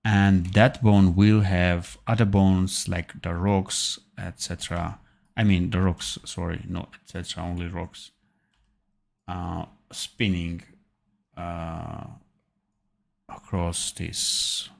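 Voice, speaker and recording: Slow, gravelly low-voiced man thinking aloud. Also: flat delivery, a little mellow. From Armenia. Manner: thinking aloud, halting with fillers and self-corrections. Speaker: animation tutor. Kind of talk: live-streamed software tutorial.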